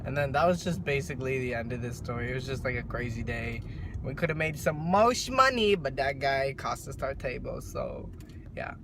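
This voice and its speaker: A young man telling a casual story in his car, chatty and animated with lots of filler. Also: mid-range voice, moderate pace. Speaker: vlogger. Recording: road noise in a car.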